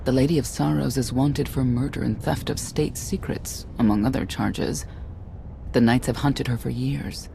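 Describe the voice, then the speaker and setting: A gravelly, middle-aged warrior mother from a video game, weary and guarded. She speaks in measured, solemn phrases with the sternness of a knight. There is faint noise behind her. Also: very deep voice, fairly even intonation, full character voice. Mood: guarded, stern. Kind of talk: dramatic video game dialogue.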